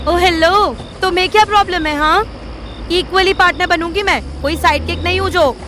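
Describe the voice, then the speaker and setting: Woman acting as sassy young woman, high bright voice, Indian accent, blunt, insistent, audio drama. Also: normal speed, slight background noise. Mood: indignant. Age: young adult. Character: assertive, sassy young woman, demanding equal partnership. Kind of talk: audio drama dialogue, Hindi mixed with English.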